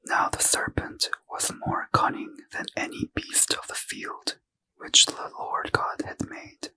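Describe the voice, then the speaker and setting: A man reading a bedtime scripture story in ASMR style, in a soft unvoiced whisper. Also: takes it slowly, low-quality recording. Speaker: ASMR reader.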